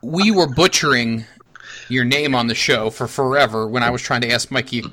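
A man hosting a casual chat, asking questions in an expressive, exclaiming way. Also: mid-range voice, normal speed. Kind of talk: casual banter.

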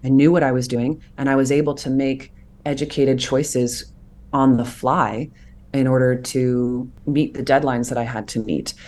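A woman's voice: very deep voice, moderate pace. A woman in her thirties, a voice actor being interviewed, talking earnestly and reflectively about her craft. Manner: earnest, reflective, animated when explaining. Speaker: voice actor guest. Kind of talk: interview conversation.

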